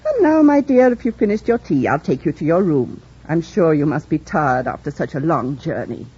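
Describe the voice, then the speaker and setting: A woman's voice: low voice, big pitch swings, moderate pace, mellow, breathy edge, exaggerated voice. An actress playing a kindly, fussing older housekeeper in a vintage radio drama, motherly, genteel and chatty. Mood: amused, kindly. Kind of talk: 1940s-50s radio drama acting. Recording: dull vintage radio recording.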